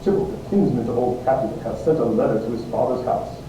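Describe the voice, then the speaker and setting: A man playing a cocky, mocking young gentleman in an amateur Shakespeare reading, animated and teasing. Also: quick pace, close to an everyday voice. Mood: agitated. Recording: very echoey, distant, noisy with rumble.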